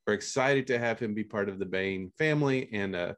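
Male podcast host, lowish voice, relaxed sign-off with a few ums. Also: brisk. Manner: relaxed, slightly hesitant. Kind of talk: wrapping up a panel and introducing.

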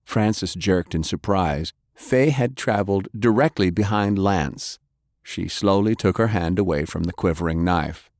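A man with a fairly low voice reads scripted fiction as an audiobook narrator. The recording is very dry, with no audible room around him. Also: measured pace, darkish tone, dry recording.

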